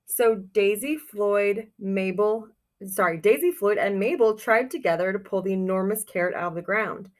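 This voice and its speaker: A woman reading a picture book aloud to young children like a teacher, expressive and encouraging, pausing to put questions to her listeners. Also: medium pitch. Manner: expressive, encouraging, exclaims softly over pictures.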